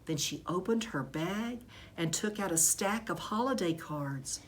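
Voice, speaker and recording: Lady reading a kids book out loud, takes it slow, expressive, bit of noise behind her. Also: fairly low pitch. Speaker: teacher reading a children's book aloud. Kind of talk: expressive storybook narration.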